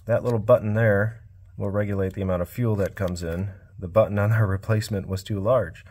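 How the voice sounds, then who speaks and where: A man with a lowish voice talks through a repair job in a quiet, low-key, fairly monotone way. It sounds like he's recording on his phone while working at the bench, with a casual, unhurried workshop manner. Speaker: small-engine repair vlogger. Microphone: cell phone.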